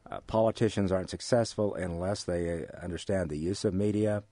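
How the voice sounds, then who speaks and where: An American man being interviewed, speaking in a halting, measured way with formal, academic wording. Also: low-pitched, steady tone, warm tone, no echo. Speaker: interview guest, amateur radio drama actor. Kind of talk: relaxed interview conversation.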